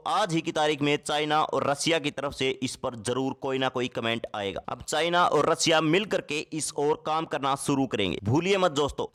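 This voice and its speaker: A man's voice: on the high side, a bit bright. A man delivering explanatory news commentary in a dramatic, emphatic way, addressing viewers directly.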